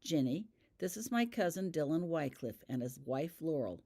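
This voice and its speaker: A curt adult male wizard from an audiobook story, as a narrator reads him. He speaks coldly and abruptly, in a mid-pitched voice used to giving orders. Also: expressive, normal speed, a little mellow. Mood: brisk, polite. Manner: cold, abrupt.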